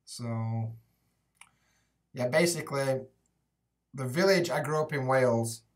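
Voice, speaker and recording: A man's voice: medium pitch, slow pace, distant mic. A young Yorkshire man chatting loosely to his viewers, dropping swear words and "mate" as he goes. His voice sits a little back from the webcam mic in a small indoor room. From England, Rotherham area. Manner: casual, sweary, laid-back banter with lots of "like" and "mate". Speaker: YouTuber living in Japan. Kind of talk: live stream Q&A reading chat.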